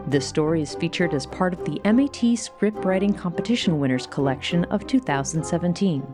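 A woman announcer reading scripted closing credits for an audio production. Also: on the low side. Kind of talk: scripted narration. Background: music.

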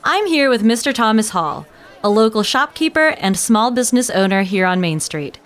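An actress playing a poised local news reporter in an audio drama, measured and formal. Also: female voice, on the high side, animated, fairly bright tone. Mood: composed.

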